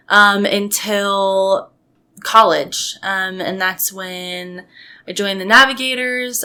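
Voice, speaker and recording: Slow-talking bright young woman. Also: medium pitch. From Colorado. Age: 20s. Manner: slow, measured, with playful asides. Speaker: podcast guest. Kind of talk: casual conversational storytelling.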